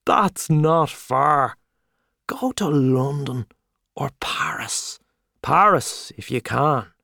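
A man voicing a boastful, hearty Irish journalist, talking loudly and expansively over drinks. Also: mid-range voice, sing-song, takes it slowly, creaky, no room sound, clean studio sound, heavily acted. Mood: boisterous. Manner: loud, expansive, back-slapping.

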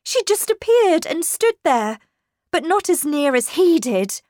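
Woman acting an anxious, high-voiced young governess. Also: expressive, slow, dry recording, clean studio sound. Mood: fearful, urgent. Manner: urgent, emphatic, impatient.

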